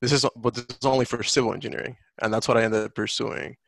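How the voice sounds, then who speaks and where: A man's voice: medium pitch, flat delivery, fast talker, gravelly, fairly bright tone, no echo. A young man from the Dominican Republic, a student guest talking casually with lots of fillers. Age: young adult. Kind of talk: online panel Q&A.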